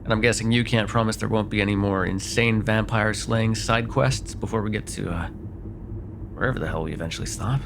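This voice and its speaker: A man's voice: fairly low pitch, brisk, vocal fry. Man acting a sardonic vampire over noisy car ambience. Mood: wry, grumbling. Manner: hesitant, wry, sweary, conversational, with sighs. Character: sardonic, grudgingly apologetic male vampire on a road trip. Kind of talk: immersive ASMR roleplay acting, one side of a dialogue.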